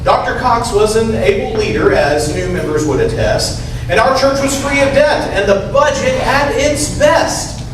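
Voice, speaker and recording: Slow, high-pitched man in echoey hall. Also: lively intonation, distant mic. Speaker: reader in a church readers theater. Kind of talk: reading rhyming poem aloud. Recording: echoey church hall from afar, noisy.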